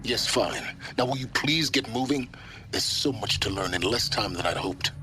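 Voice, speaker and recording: A man playing an aloof, deep-voiced scholar. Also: expressive, lightly acted, low-quality recording. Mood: dry, impatient. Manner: measured, dry, condescending. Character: aloof, condescending older male scholar-wanderer seeking ancient secrets. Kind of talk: scripted dramatic game dialogue.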